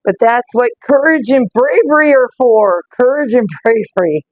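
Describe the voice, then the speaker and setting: A woman with a slightly rough voice, reading oracle cards in a casual live stream and greeting viewers by name. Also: a little high, moderate pace, narrow, tinny audio. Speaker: oracle card reader.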